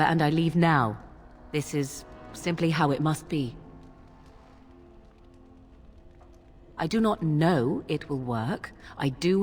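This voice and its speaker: An actress playing a proud, guarded witch in a fantasy video game, speaking in formal, archaic phrasing with cool, deliberate persuasion. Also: sits low, long reverb tail. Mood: firm, insistent.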